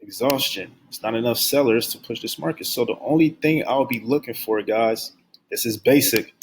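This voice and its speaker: A young man teaching forex basics, keeping his voice low and subdued, and repeating key phrases over and over as he drills them in. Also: moderate pace, a little hiss or hum, poor audio. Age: young adult. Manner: speaks low and subdued on purpose. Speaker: forex trading instructor. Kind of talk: screen-share trading lesson. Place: at his workplace.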